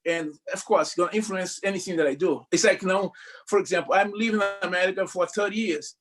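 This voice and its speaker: Brazilian-accented percussion teacher, middle-aged man, high and emphatic. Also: fast. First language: Portuguese. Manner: animated, emphatic, asks rhetorical questions. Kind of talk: panel discussion answer.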